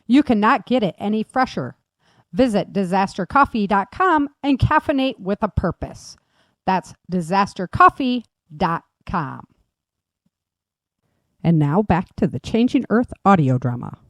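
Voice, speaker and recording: A woman's voice: medium pitch, lively intonation, measured pace, dry recording, studio quality. A woman announcer doing scripted announcements and ad reads.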